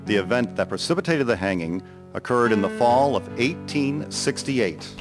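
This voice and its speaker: A man's voice: medium pitch, lively intonation, normal speed. A man narrating a dramatized history from a script, with plucked guitar behind him. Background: plucked guitar music.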